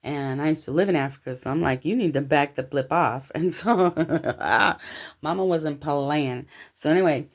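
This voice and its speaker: An American woman from Los Angeles talking casually and telling personal anecdotes in an animated, slangy way. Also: low-pitched, unhurried. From Los Angeles, United States.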